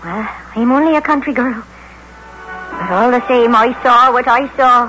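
A woman playing a high-voiced, frightened country girl. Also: normal speed, over music, lightly acted. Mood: earnest, grateful. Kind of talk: old-time radio drama acting. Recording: muffled old radio recording.

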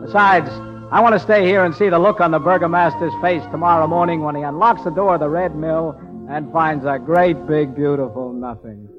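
An actor playing a wry, easygoing American schemer with casual quips in an old radio operetta. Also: male voice, fairly high pitch, brisk, natural voice. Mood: wry, cheerful. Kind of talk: 1940s-50s radio operetta acting. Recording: muffled old radio broadcast with music.

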